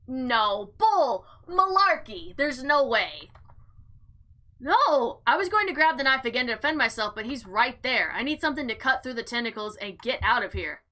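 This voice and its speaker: A woman hosting a game playthrough, mixing informal commentary with theatrical, expressive reading aloud. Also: a little high, normal speed. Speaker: gaming video host.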